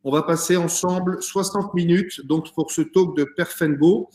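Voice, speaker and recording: A man's voice: fairly high pitch, fairly even intonation, unhurried, darkish tone, reverberant. A man hosting an online talk show interview by video call, hesitant and full of fillers. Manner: hesitant, many fillers and restarts. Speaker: host.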